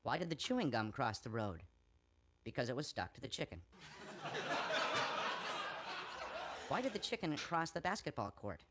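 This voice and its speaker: A man's voice: medium pitch, big pitch swings, moderate pace, pauses often, heavy background noise. A man playing a corny joke teller in a video game, delivering scripted setups and punchlines. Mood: jokey.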